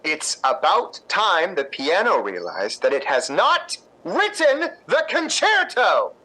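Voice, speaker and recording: A man's voice: high-pitched, wildly swooping pitch, slow pace, sharp tone, heavily acted. A man playing a witty, exasperated playwright with a sardonic, articulate delivery in a radio drama. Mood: exasperated, cutting. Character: exasperated, witty male playwright, cutting and proud. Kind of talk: radio drama acting in 1950s style.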